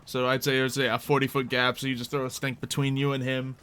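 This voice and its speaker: Bright-voiced man running a tabletop game. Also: mid-range voice, little pitch movement, a little fast, clear, resonant tone. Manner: casual, conversational improvised narration. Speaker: game master. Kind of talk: narrating a tabletop roleplay game.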